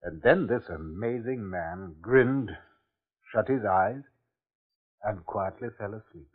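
An actor playing an awed, grave official who narrates as a witness in a 1950s radio drama, solemn and dramatic. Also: male voice, on the low side, slow, husky. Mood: hushed, solemn. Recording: tinny vintage radio recording with music.

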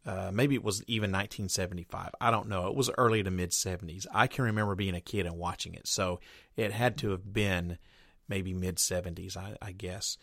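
A man's voice: sits low, fairly even intonation, brisk, gravelly, a bit bright, dry recording, studio quality. A middle-aged man hosting a radio show, casually introducing the episode in a rambling, hesitant way. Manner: rambling, hesitant, lots of uh and restarts.

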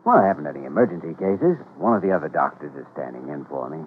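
An actor playing an earnest, easygoing young male doctor in an old-time radio drama, in a gentle conversational manner. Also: very deep voice, big pitch swings, fast, slight background noise. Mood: breezy. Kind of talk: 1940s-50s radio drama acting. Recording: tinny old radio recording, music behind.

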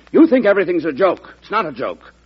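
An actor playing a vain, self-important cabinet minister in an old-time radio drama, with a rough voice and an aggrieved, theatrical manner. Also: male voice, high-pitched, big pitch swings, brisk, full character voice. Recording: muffled vintage radio recording.

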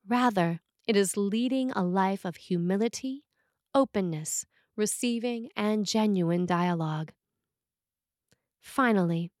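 A woman delivers a written sermon in a medium-pitched voice. Her phrasing is measured and deliberate, as a preacher reading from a script. The sound is dry, with little room around it. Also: dry recording.

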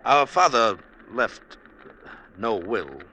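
An actor playing a proud, quarrelsome older brother in an Indian village, in the formal, theatrical style of 1950s radio drama. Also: male voice, on the low side, big pitch swings, extremely slow, bright, forceful tone, full character voice, rough recording. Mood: smooth scheming. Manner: stately, formal, theatrical delivery. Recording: old radio recording, faint background noise.

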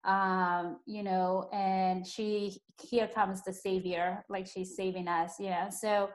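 A woman's voice: mid-range voice, level tone, slow. A young woman who grew up speaking Spanish, hosting a casual podcast conversation in a chatty way with lots of fillers. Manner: chatty, uses many fillers. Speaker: podcast host.

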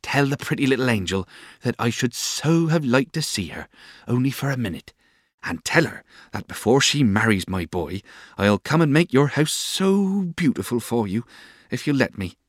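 Man acting devoted older serving woman, lifted pitch, tender coaxing manner, humble, swooping storybook delivery. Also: lively intonation, moderate pace, no echo, studio quality, lightly acted. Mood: tender, confiding. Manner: tender, coaxing, rambling endearments.

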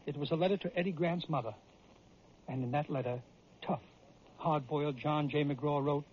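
A man's voice: fairly high pitch, fairly even intonation, moderate pace, a little hiss or hum. A man with an American accent delivering emphatic, theatrical scripted sports stories in an old-time radio style. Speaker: radio storyteller and sports host. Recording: dull vintage radio recording.

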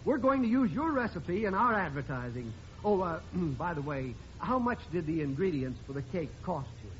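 A man's voice: a little high, big pitch swings, moderate pace. A man playing a courteous, effusive company representative in 1950s radio sitcom style. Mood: gracious. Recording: muffled, hissy old radio broadcast.

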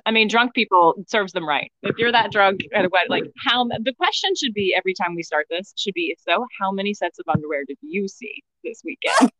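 A woman's voice: mid-range voice, very animated, normal speed, pushed and bright, no room sound, low-quality recording. A woman co-hosting a casual podcast, teasing and interrupting with frequent exclamations. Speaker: podcast co-host, wedding singer. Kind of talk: casual chat.

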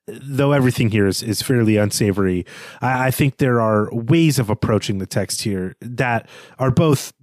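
A man's voice: mid-range voice, moderate pace, no room sound. A man co-hosting a podcast, analysing literature conversationally in a reflective way, often qualifying his points. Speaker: podcast co-host.

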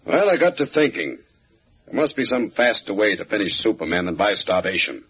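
A man's voice: on the low side, big pitch swings, brisk, a bit bright, slightly rough, heavily acted. Male, assured ruthless gang boss, 1940s radio drama, dull vintage recording. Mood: scheming. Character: burly male crime boss, confident and ruthless. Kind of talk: 1940s radio serial acting.

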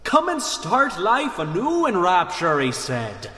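A man's voice: high-pitched, huge pitch swings, takes it slowly, bright, forceful tone, heavily acted. A man playing a rousing, theatrical Irish working-class rebel orator in a radio drama. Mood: wry. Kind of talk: radio drama political speech. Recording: echoey hall with long reverb.

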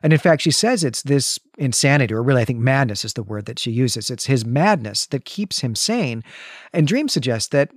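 A man's voice: medium pitch, expressive, normal speed, husky, no room sound, clean studio sound. A man co-hosting a podcast, recapping a comic story in an articulate, explanatory way. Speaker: podcast co-host.

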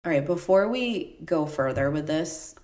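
A woman co-hosting a podcast in casual chat, her voice stuffed up and deeper than usual, talking in a chatty, animated way. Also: a little slow, echoey room, loud music behind the voice. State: stuffed up, voice deeper after illness. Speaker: podcast co-host.

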